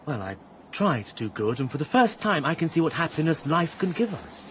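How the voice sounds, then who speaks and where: A man with a British accent playing an earnest, idealistic gentleman in a radio drama, fervent and searching, sometimes hesitant. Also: a little high, sing-song, a little fast, a little hiss or hum.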